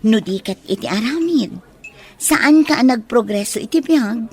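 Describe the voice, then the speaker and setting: A woman playing a bubbly, scheming young woman in an Ilocano radio drama, giggly and animated. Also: a little high, a little slow. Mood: coy, teasing.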